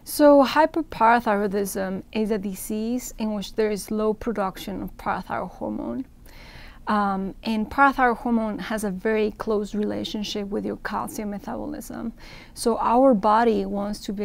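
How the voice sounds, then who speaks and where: Creaky-voiced woman from Ecuador explaining. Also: medium pitch. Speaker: interviewed surgeon. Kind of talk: explanatory medical interview. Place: indoor event room with banner backdrop.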